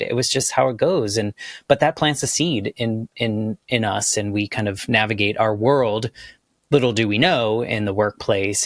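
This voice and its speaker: A man hosts a podcast in a supportive, rambling way. His voice is on the low side. The sound is dry and close, with no room around it. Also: no echo. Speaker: podcast host. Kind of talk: casual interview chat.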